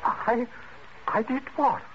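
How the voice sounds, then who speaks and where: An actor playing a frightened, dithering gentleman in a 1940s radio suspense play, hesitant and stammering as he protests. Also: very high-pitched, fairly even intonation, slow, heavily acted. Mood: nervous protest. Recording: muffled, hissy old radio recording.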